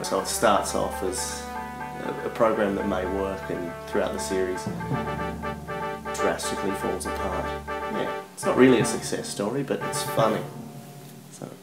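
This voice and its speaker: Aussie guy doing casual promo chat, lowish voice, bit halting, self-deprecating, guitar playing behind. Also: poor audio. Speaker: writer presenting own radio project. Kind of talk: casual promotional talk to camera.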